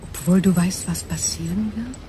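A woman playing a gentle, ancient, lonely alien crystal being in a sci-fi radio drama, speaking in slow, solemn, wistful tones. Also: fairly low pitch, slight background noise, natural voice. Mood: sad, resigned.

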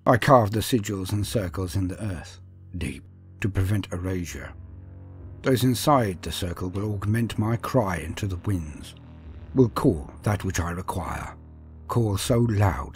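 Man as a low, brooding village sorcerer. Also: lively intonation, slightly rough, faint music behind, heavily acted. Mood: brooding resolve. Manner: hushed, deliberate, brooding. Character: brooding, defiant village sorcerer, once an apprentice. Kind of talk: dark fantasy first-person storytelling.

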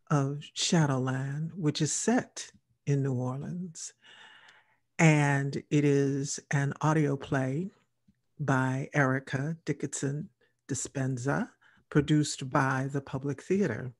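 A woman's voice: low voice, steady tone, slow pace, darkish tone. An older woman hosting a radio interview over a video call. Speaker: radio show host, interviewer. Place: indoors at home, on a video call.

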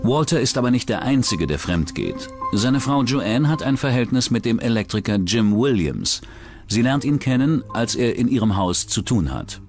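A man's voice: mid-range voice, expressive, normal speed, music in the background. A man reading scripted true-crime narration as the narrator of a documentary.

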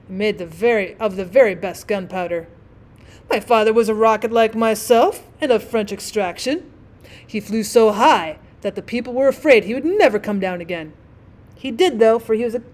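A woman's voice: fairly high pitch, a little mellow, natural voice. A woman voicing a vain, self-obsessed male firework rocket in a storybook reading, with haughty, indignant, self-important delivery.

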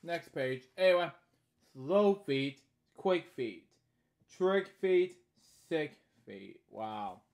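Higher-voiced man reading a picture book aloud. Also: animated, gravelly, a little mellow. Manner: halting, repeating stock phrases between short read lines. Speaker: host of a bedtime story series. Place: small indoor room at home.

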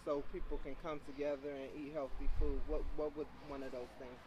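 A woman's voice: deep voice, level tone, a little fast. Friendly woman interviewer, noisy outdoor recording. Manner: friendly, loose questions with fillers. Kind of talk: casual street interview.

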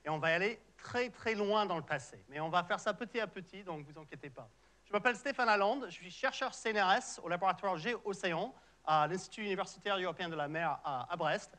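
A man's voice: sits high, fairly quick, pushed and bright. A middle-aged man from Canada, a native English speaker with an English-Canadian accent, giving a popular science lecture in a large auditorium, explaining patiently with frequent fillers and restarts. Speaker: researcher giving a public lecture.

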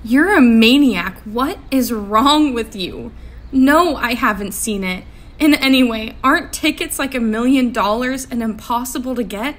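A woman's voice: a little high, unhurried, lightly acted. A woman playing a despairing, guarded young woman in a comic book dub, sardonic and incredulous. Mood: exasperated. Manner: exasperated, incredulous.

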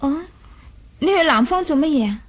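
A very high-pitched young princess in a radio play. She is proud and imperious, yet coquettish and teasing, with a wistful edge to her probing manner. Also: animated, a little fast. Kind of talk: Cantonese 1980s radio drama acting.

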